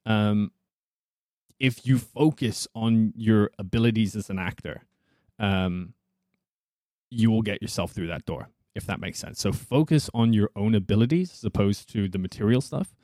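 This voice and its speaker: A man from South Africa, an actor chatting casually on a podcast with a husky, soothing voice and a thoughtful manner. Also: fairly low pitch, normal speed, mellow, dry recording, clean studio sound.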